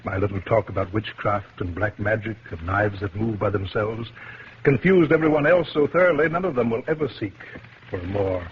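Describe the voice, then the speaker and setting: Low-voiced, smug murderous professor played by a man. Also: moderate pace, natural voice. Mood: smug menace. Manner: menacing, mockingly polite, precise. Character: cold, smug, murderous male professor and wife-killer. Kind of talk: 1950s radio mystery acting. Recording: muffled, noisy vintage radio recording.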